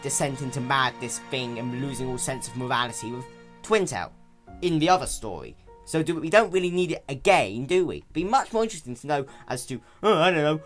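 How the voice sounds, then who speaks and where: A man's voice: fairly high pitch, fairly bright tone. A man giving an unscripted, casual review in a rambling, mock-grandiose way with exaggerated emphasis. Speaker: fan fiction reader and reviewer.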